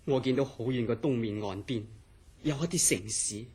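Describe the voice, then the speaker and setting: An actor playing an earnest, mysterious scientist in a horror radio drama, telling his story in a serious, deliberate way. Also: medium pitch, darkish tone, husky, lightly acted. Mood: wondering, earnest. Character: earnest, mysterious scientist recounting uncanny dreams. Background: background music.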